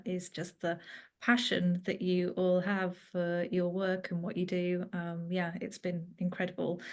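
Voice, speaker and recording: A woman moderates a panel, thanking the speakers and handing over to the next one. Her voice sits lowish and stays flat in pitch, and she uses many fillers.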